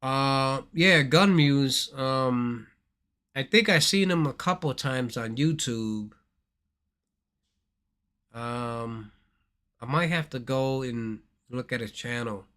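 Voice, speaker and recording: A man from Thailand chats loosely on his gaming livestream, circling back and repeating himself as he talks to viewers. His pitch sits in an ordinary middle range. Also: slow pace, long pauses, a bit bright, smooth. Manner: rambling, repeats words, talks straight to viewers. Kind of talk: casual livestream chat.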